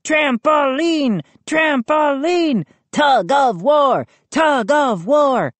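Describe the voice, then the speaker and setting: A woman voicing gleeful, menacing gnomes with shrill voices, chanting in a children's storybook. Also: lively intonation, very slow, dry recording, full character voice.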